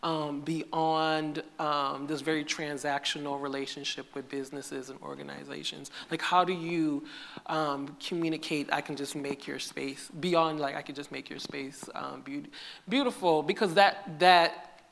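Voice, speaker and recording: A moderator with a middle-pitched voice who questions a panel in a hesitant, halting way. A faint background noise sits under the speech. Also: steady tone, vocal fry, a bit bright. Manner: hesitant, many fillers. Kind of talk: panel discussion. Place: stage before an audience in a large hall.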